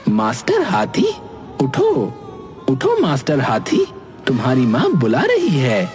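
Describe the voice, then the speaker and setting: An actor as a wildly animated, slow-talking cartoon forest critter. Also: medium pitch, faint music behind. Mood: concerned, puzzled. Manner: exaggerated, expressive, drawn-out delivery. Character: Bholu, playful dramatic talking forest animal, male. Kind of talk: Hindi cartoon character dialogue.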